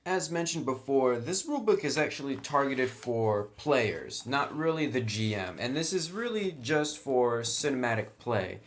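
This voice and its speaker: A man's voice: medium pitch, lively intonation, moderate pace, few pauses. A man doing a casual unboxing review of a tabletop game, talking plainly and often saying "actually," filmed on a tripod camera indoors. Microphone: camera mic on a tripod.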